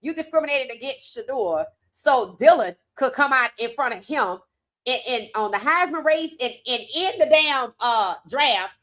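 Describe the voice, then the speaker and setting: A woman hosting a sports commentary livestream, hoarse from talking, animated and emphatic with casual slang. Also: sits high, normal speed. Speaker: host.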